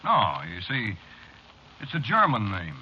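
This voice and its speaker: An actor playing a folksy, teasing, ominous bartender with a sly, drawn-out manner, in 1940s radio horror style. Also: male voice, lowish voice, huge pitch swings. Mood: sly explaining. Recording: dull, noisy old radio recording.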